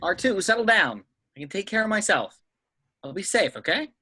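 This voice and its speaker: A man playing an earnest, impatient young space hero in an amateur script reading, brisk and casual. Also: high-pitched, sing-song, pushed and bright, slightly rough, heavily acted. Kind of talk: amateur script table read.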